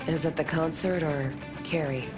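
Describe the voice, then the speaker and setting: An actress playing a rocker's teasing, world-weary girlfriend in a video game, with a languid, sometimes breathy delivery. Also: sits low, steady tone, normal speed, natural voice. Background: loud guitar music.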